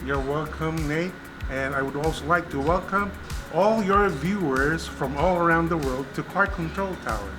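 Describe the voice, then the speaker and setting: Slightly high-voiced man, music behind. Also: normal speed. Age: middle-aged. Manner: polite, good-humoured. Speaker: airport tower staffer, tour guest. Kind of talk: friendly interview answers.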